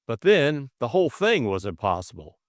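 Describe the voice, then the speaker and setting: A man's voice: medium pitch, big pitch swings, moderate pace, dry recording, studio quality. A man narrating a novel as a scripted audiobook, reading expressively.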